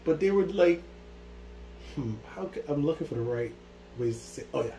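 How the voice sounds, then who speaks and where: A man's voice: fairly high pitch, animated, normal speed, mellow. A man from Barbados hosting a casual interview, enthusiastic and peppering his talk with "like". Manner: enthusiastic, repeats "like" often. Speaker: interview host. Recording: echoey room with faint hum.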